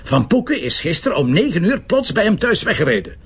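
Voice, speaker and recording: Stubborn police commissioner: Flemish, slightly high-pitched for a man, impatient and grumbling, in a crime radio play. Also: sing-song, a little fast, husky, full character voice. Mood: insistent. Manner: blunt, impatient, argumentative. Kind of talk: Flemish audio crime drama acting. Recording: dull lo-fi sound with background noise.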